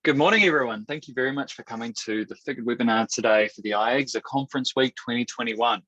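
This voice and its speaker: A New Zealand man with a Kiwi accent presents a product webinar over a video call. His delivery is upbeat and steady, with the odd restart. The audio is dry and a bit dull. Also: medium pitch, fast talker, dry recording. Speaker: webinar host.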